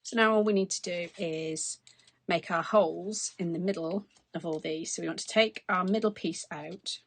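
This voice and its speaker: A woman in her forties, hoarse from losing her voice, chatting through a craft demonstration at her desk. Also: fairly low pitch, normal speed, no room sound. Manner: chatty, explains as she works. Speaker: craft tutorial host. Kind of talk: casual step-by-step crafting demonstration. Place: craft desk indoors, overhead camera.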